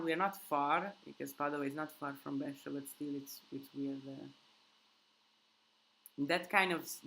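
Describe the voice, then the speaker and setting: A woman's voice: low voice, bright, forceful tone, a little hiss or hum, poor audio. A woman hosting a live Q&A in English that is not her first language, rambling casually with many restarts and fillers. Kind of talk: casual live-stream chat with viewers. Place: countryside home.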